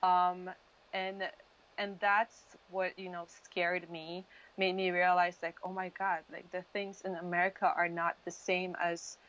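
A woman in her thirties, a native Polish speaker from Poland, telling her story casually and haltingly as an interview guest. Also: lowish voice, little pitch movement, a bit bright. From Poland, moved to US at thirteen. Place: dim indoor room, video call. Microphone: wired earphone mic. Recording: dull lo-fi video call audio.

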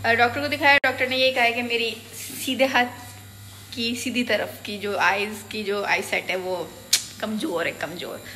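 A woman's voice: on the high side, steady tone, moderate pace, sharp tone. A woman in her thirties with a Pakistani accent, chatting casually to her phone as a vlogger and mixing in English words. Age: 30s. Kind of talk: casual vlog chat to camera. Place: clinic lobby, optical shop and home kitchen. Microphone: phone at arm's length. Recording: noisy phone audio with street and room noise.

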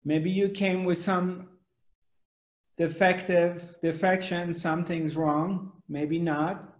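A man in his forties speaks slowly to a webcam in a room at home, asking question after question with broad hand gestures. His voice sits a little high. The room adds a noticeable echo. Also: level tone. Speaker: spiritual teacher. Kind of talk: spiritual discourse to camera. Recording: echoey room.

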